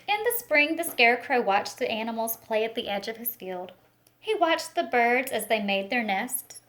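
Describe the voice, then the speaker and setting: A woman reading a picture book aloud to young children, expressive and speaking to them directly with teacher-like questions and asides. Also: on the high side. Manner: expressive storytelling, talks directly to young listeners.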